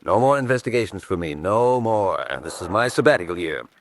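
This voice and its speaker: A gentleman investigator from a vintage radio play. He is shrewd and weary, clipped and dismissive in speech, with a melody that swings widely. The sound is dry, with little room around it. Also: on the low side, expressive, fairly quick, dry recording. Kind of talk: old-fashioned radio drama acting.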